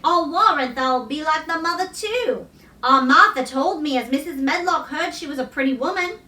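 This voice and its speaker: A woman voicing a motherly, affectionate Yorkshire countrywoman in a storybook, speaking gently in homely dialect words. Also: high voice, animated, normal speed, echoey room, distant mic.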